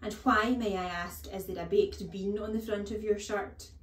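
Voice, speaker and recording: A woman's voice: mid-range voice, fairly even intonation, moderate pace, natural voice. A woman voicing a huge, bullying headmistress who barks contemptuous commands and insults in a children's storybook reading. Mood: scornful, bullying. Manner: barking, bellowing commands, contemptuous. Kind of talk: children's storybook read-aloud. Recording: echoey room.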